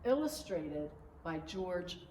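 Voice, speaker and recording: A woman reads a children's storybook aloud as narrator, phrase by phrase in a deliberate way. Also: lowish voice, very animated, a little mellow. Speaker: pastor reading a storybook. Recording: echoey room, distant mic, faint noise.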